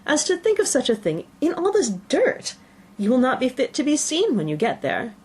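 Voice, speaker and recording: A woman voicing a silly, excitable middle-aged mother in an audiobook, fussing and scolding in a self-satisfied, dismissive way. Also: high voice, moderate pace, slightly rough, pitched above the performer's own voice, full character voice. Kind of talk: audiobook character voice.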